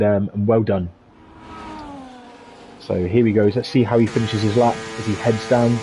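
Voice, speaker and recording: Chatty male race commentator, mellow tone. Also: medium pitch, slight background noise. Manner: chatty, upbeat, playful asides.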